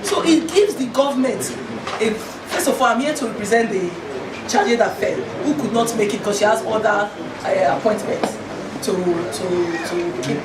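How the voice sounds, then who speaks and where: A woman with a Nigerian accent and a croaky voice gives a formal speech as an embassy representative. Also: mid-range voice, moderate pace. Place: noisy echoey gathering. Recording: echoey, far mic, loud crowd noise.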